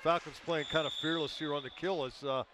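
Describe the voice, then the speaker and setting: A man's voice: on the high side, fairly even intonation, fairly quick, background noise. A man giving color commentary at a live hockey game, breaking down plays in a loose, analytical way with frequent fillers.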